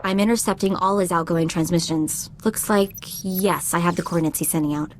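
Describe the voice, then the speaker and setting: A woman's voice: fairly low pitch, fairly even intonation, fairly quick, slight background noise, lightly acted. An actress playing a sassy young female hacker sidekick in a video game, with a wry, casual delivery. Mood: focused.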